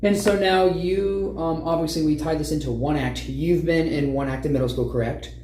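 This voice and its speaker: A man's voice: fairly high pitch, steady tone, moderate pace, darkish tone. A man hosting a podcast, interviewing guests in a conversational way. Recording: roomy echo, background noise.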